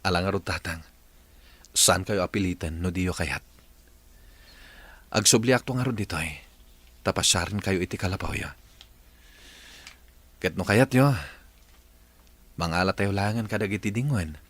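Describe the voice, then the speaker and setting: A man's voice: sits low, moderate pace, pauses often, a bit bright, dry recording. A man playing an earnest adult son in a dramatized family story, with an Ilocano accent. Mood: gently reassuring. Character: earnest adult son reconciling with long-lost parents. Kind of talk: dramatized Ilocano radio-style story acting.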